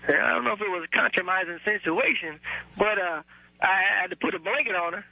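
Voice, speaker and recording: A man puts on a blunt caller in his fifties, high and gravelly, with a husky, bright edge. He talks in a defensive, ungrammatical drawl, as if everyone is accusing him of something. The call comes through a dull, muffled phone line. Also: fast, no room sound. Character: man in his 50s from Las Vegas, blunt and touchy. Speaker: recurring radio caller.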